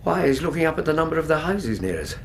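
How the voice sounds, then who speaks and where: An actor playing a kindly Victorian physician with an English accent, solicitous and expressive, in a radio drama. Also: male voice, on the high side, quick pace, gravelly, a little hiss or hum. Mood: concerned, surprised.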